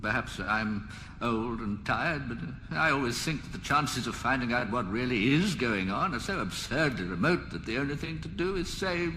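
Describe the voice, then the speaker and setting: An actor playing a fussy old alien planet designer in a radio comedy, rambling in a resigned, mildly peevish way. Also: male voice, fairly high pitch. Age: older. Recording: echoey hall, clear background noise.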